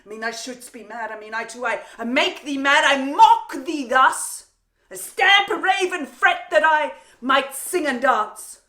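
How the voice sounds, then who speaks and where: A woman performing a Shakespearean stage monologue as a vengeful warrior queen, theatrical and taunting, with drawn-out pauses, gloating over a captive. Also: a little high, little pitch movement, sharp tone. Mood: furious, mocking. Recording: roomy, echoing untreated room.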